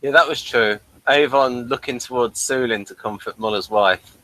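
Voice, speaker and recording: A man speaks at a medium pitch. He chats informally as a co-reviewer, explaining references piece by piece in a halting manner. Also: bright, forceful tone, low-quality recording. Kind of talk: casual unscripted review chat.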